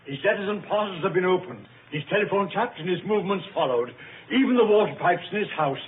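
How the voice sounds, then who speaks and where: A brisk henchman of a secret gang. His voice is high and very quick, with clipped, insistent delivery. It sounds like old radio drama, narrow and tinny. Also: lively intonation, a little hiss or hum. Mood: brisk, certain. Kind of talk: old-time radio thriller acting.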